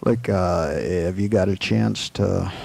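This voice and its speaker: Man playing a deep, gravelly western marshal. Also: darkish tone, heavily acted. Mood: friendly, guarded. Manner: halting, deliberate, folksy. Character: steady, weary, plain-spoken male frontier marshal. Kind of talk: western radio play acting.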